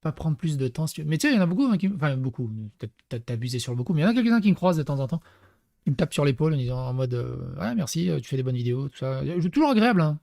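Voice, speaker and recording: A man's voice: mid-range voice, gravelly, mellow, no echo, studio quality. A young man from Paris, telling casual anecdotes to his channel with many fillers and restarts. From Paris, France. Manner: rambling, lots of fillers and restarts, laughs at own stories. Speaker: YouTuber. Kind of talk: casual storytelling to camera.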